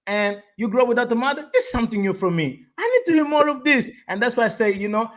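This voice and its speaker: High-voiced host with halting, excitable delivery. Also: male voice. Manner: enthusiastic, repeats words, halting restarts. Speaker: host of a live online show. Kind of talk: casual interview.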